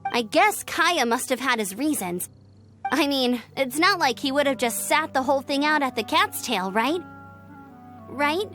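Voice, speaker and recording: High-voiced, hesitant girlish woman. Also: lively intonation, unhurried, a bit bright, faint music behind. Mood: unsure, reasoning. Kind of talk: video game dialogue.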